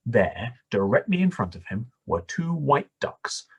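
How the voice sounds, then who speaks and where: Animated, husky male storybook reader. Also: mid-range voice, muffled recording. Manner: animated, deliberate, dramatic pauses. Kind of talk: expressive read-aloud children's story narration.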